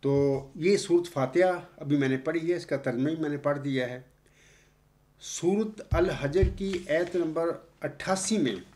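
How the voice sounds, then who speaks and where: An older man giving a Friday sermon with a close mic, explaining scripture and chanting Arabic verses in long, drawn-out phrases. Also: a little high, darkish tone. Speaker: preacher. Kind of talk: Friday sermon with slow Quranic recitation. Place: indoors, seated before a backdrop screen. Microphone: close studio mic in front of the face.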